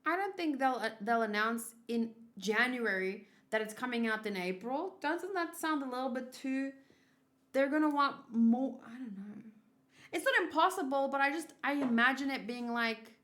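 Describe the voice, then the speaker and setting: A woman with a fairly high voice hosts a casual livestream. She rambles and thinks aloud, circling back over her words as she talks to viewers. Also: lively intonation, normal speed, fairly bright tone. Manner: rambling, thinking aloud, often repeating herself. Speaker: streamer hosting a manga read-along.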